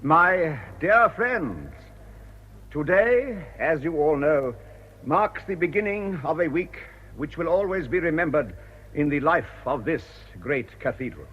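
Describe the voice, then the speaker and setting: An earnest, ageing English cathedral canon weighed down by worry. His formal clerical diction rises and falls widely, with a slightly husky edge. It sounds like a muffled old radio drama broadcast. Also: fairly high pitch, sing-song, measured pace, heavily acted. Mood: solemn, ceremonial. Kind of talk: British radio drama acting.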